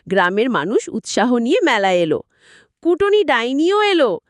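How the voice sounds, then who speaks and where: Woman narrator, children's story, high pitch, very expressive intonation, dry studio-like sound. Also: moderate pace, no echo.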